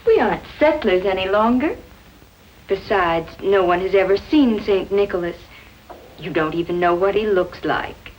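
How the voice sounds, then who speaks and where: An actress playing a practical, affectionate wife and mother in a 1950s television drama, with a teasing, gently scolding manner. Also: mid-range voice, animated, normal speed, lightly acted. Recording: noisy vintage 1950s TV soundtrack.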